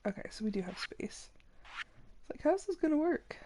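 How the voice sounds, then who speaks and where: A woman's voice: sits high, sing-song, normal speed, warm tone, slight background noise. A young adult woman streaming a game while congested and a little sick, chatting casually and apologizing for her voice. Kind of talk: casual gameplay commentary. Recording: game music behind voice.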